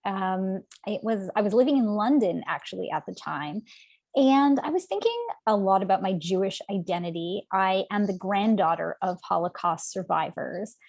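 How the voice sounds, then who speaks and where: Animated woman author speaking from home. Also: mid-range voice, moderate pace, vocal fry, dry recording. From Canada. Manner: animated, storytelling, frequent ums and self-corrections. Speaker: author guest. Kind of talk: conversational interview answer.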